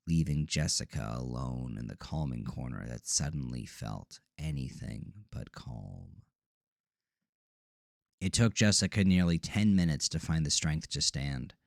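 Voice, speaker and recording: A man's voice: a bass voice, creaky, no room sound, clean studio sound. A man narrating a scripted psychological horror story as a dramatic narrator.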